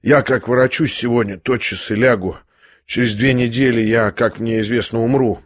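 A man reading an audiobook, voicing a young man who is dying, speaking quietly and hoarsely in a weary, ironic calm. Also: medium pitch, no echo, clean studio sound, close to an everyday voice. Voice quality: hoarse, near whisper. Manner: slow, weary, faintly mocking calm.

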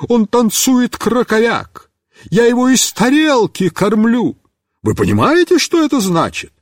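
A man voicing a fussy, indignant middle-aged woman neighbor with a shrill, squealing voice and drawn-out, outraged exclaiming. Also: very animated, dry recording, clean studio sound, full character voice. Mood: shrill outrage.

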